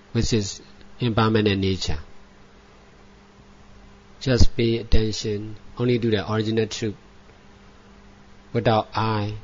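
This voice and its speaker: A man who is a native Burmese speaker gives guided meditation as a Buddhist teacher, in measured, repetitive short phrases with frequent pauses. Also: lowish voice, a little hiss or hum. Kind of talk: guided meditation, spoken dhamma instruction. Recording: dull lo-fi recording.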